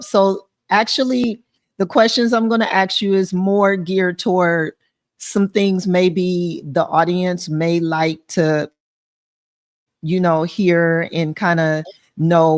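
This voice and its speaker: A woman with an American accent hosting a casual interview, chatty and informal with a joking touch. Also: lowish voice. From Flint, Michigan, USA. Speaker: show host, interviewer.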